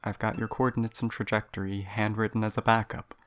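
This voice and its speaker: An actor playing a troubled, hesitant space traveler facing death alone in an audio drama, haltingly searching for words. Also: mid-range voice, level tone, a bit bright, natural voice. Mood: resigned.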